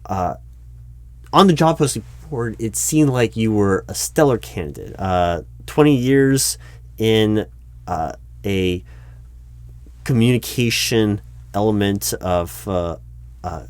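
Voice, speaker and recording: A man with a fairly low voice plays an awkward recruiting manager in an ASMR-style interview roleplay. He talks at full voice rather than whispering. His delivery is hesitant and stammering, politely skeptical as he questions a candidate. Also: lively intonation, slow pace, no room sound. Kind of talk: one-sided interview roleplay, ASMR spoken out loud. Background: computer keyboard typing.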